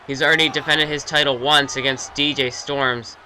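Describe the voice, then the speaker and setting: A young man calls wrestling action as play-by-play, mid-pitched and eager. He pushes his voice up sharply when a big move lands and trips over his words as he goes. A steady hiss of noise sits under the recording. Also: normal speed, poor audio. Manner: energetic, surges loud on big moves, restarts phrases. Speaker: play-by-play commentator for his own video game matches.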